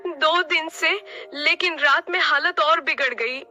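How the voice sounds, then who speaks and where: High, bright, impassioned mother bird, voiced by a woman. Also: unhurried, faint music behind, full character voice. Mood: worried. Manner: impassioned, dramatic pleading. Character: anguished, defiant mother bird pleading for sick chicks. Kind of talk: Hindi cartoon story acting.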